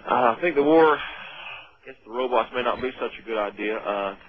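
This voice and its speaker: A man calling a phone-in, giving a mock-serious comment in a hesitant, deadpan way. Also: mid-range voice, fairly quick. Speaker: phone-in caller. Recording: muffled telephone line.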